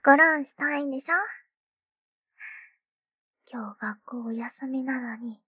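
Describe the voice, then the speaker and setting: A woman voicing a teasing, doting schoolgirl little sister in a whispered ASMR roleplay, breathy and playful. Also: high voice, dry recording, clean studio sound, full character voice. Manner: teasing, playful, slow and soft. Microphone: close microphone.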